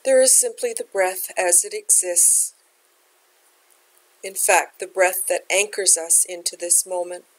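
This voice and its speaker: A woman leading a guided meditation as a teacher, speaking in a gentle, unhurried, measured way. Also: lowish voice, poor audio.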